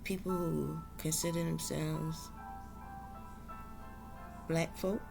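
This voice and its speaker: A woman's voice: sits low, steady tone, slow pace, gravelly, music in the background. A woman from South Carolina, talking earnestly and unhurriedly in a reflective vlog monologue. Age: adult. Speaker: vlogger.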